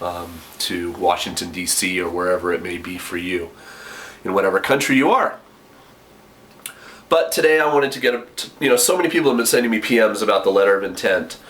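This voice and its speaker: Young male vlogger, slightly low voice, stuffy with a cold, rambling friendly advice from his kitchen. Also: a bit bright. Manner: chatty, many ums and self-corrections. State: nasal from a cold. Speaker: vlogger giving application advice. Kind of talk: casual advice vlog.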